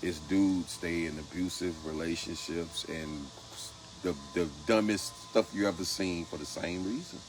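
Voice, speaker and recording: A man's voice: low voice, fairly even intonation, a little slow, noisy background. A man co-hosting a casual talk, speaking colloquially and animatedly, repeating phrases for emphasis. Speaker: co-host.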